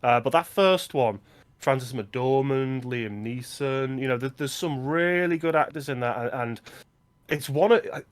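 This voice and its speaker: A man's voice: medium pitch, measured pace. A man co-hosting a film podcast, talking casually and with enthusiasm about movies he likes. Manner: enthusiastic, recommending.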